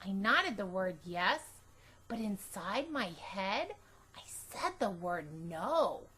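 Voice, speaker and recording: A woman reading a children's book aloud as a storytime reader, expressive and deliberate, telling it in a childlike first person. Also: medium pitch, slightly rough, poor audio.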